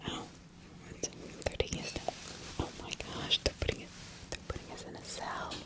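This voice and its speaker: A woman acts out a scared peasant accused of witchcraft in a whispered ASMR roleplay. The voice is all breath with no tone, halting and anxious, repeating itself and asking worried questions. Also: lightly acted. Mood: panicky, protesting. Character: frightened accused witch, a poor 1600s farmer.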